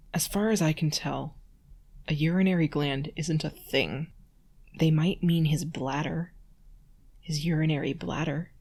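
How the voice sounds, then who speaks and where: A woman's voice: low-pitched, a little mellow. A woman reading a text aloud carefully, breaking off for dry, sarcastic commentary. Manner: dry sarcastic asides between passages; careful, deliberate reading of awkward prose. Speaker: reader and host.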